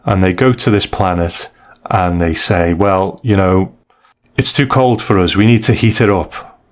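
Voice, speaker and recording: A man's voice: low voice, a little slow. A man hosting a casual review discussion, retelling and explaining stories in a conversational, hedging way. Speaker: podcast host.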